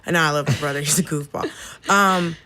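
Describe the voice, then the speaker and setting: A woman's voice: deep voice, fairly quick, sharp tone. An American woman in her thirties from Atlanta, chatting casually as a podcast guest, blunt and sweary, telling personal stories and acting out the dialogue. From Atlanta, southern United States. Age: 30s. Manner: candid, blunt, swears freely, acts out quoted dialogue. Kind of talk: casual chat, personal storytelling.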